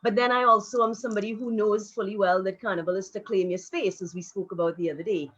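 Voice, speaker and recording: A woman, speaking as a panelist in a live discussion in a frank, reflective, measured way. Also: medium pitch, fairly even intonation, fairly bright tone, breathy edge. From Trinidad and Tobago. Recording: dull lo-fi audio.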